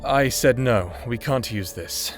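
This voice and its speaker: Man acting an earnest, mid-pitched prisoner. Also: a little slow, close to an everyday voice. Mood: firm, principled. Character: earnest, principled male prisoner, cautious and determined. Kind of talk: audio drama acting. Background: music.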